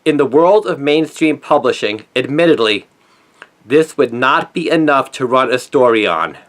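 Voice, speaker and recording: A middle-aged man delivering a scripted opinion monologue in an earnest, emphatic manner with deliberate phrasing. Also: mid-range voice, animated, a bit bright. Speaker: conspiracy commentator, former newspaper journalist.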